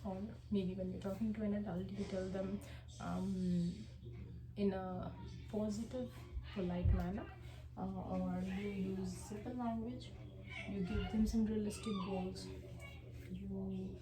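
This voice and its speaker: A young woman whose first language is Hindi presents her coursework in an echoey room. Her voice sits at a middle pitch, and she speaks hesitantly, filling pauses with ums and uhs. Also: fairly even intonation. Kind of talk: class presentation.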